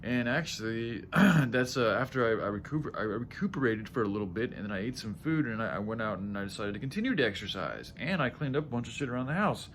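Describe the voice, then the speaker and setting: A man with a groggy, tired voice talking casually to viewers in a halting, self-correcting, sweary ramble, often clearing his throat. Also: medium pitch, lively intonation, a bit bright, a little hiss or hum. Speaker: vlogger.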